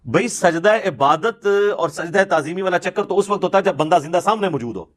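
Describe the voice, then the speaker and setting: High-pitched Pakistani man lecturing, Punjabi accent. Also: expressive, a bit bright. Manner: emphatic, argumentative, rhetorical. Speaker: religious lecturer. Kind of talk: informal religious lecture.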